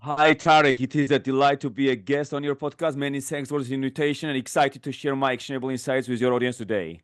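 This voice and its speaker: Man in his thirties, Georgian native speaker, smooth clear tone, middle pitch, talks with frequent fillers and restarts. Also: fairly even intonation. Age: 30s. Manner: fluent but halting, many fillers and restarts. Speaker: guest. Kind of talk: podcast interview.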